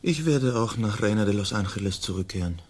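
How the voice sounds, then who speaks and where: A man's voice: lowish voice, expressive, a little fast, loud music behind the voice, natural voice. An actor playing a languid, faint-hearted young nobleman in a radio drama, polite and formal in manner. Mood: courtly. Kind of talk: German radio drama acting.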